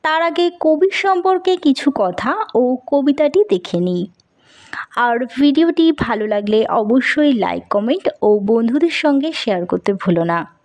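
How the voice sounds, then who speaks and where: High-pitched woman teaching, slow and lilting. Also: animated, a little mellow. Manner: deliberate, instructive, addressing young pupils directly. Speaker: teacher presenting a children's school lesson. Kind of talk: scripted lesson narration with question-and-answer and poem recitation.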